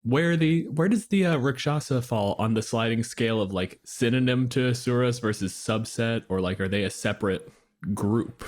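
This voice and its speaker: A man's voice: mid-range voice, moderate pace, a little mellow. A man co-hosting a casual podcast, asking inquisitive, analytical questions.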